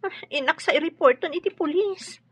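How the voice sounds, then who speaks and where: An actress playing an anxious, plaintive wife in a radio drama. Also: female voice, very high-pitched, a little fast, dry recording. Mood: worried. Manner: worried, plaintive. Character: anxious, fretful wife waiting for straying husband. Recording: muffled lo-fi radio drama with music.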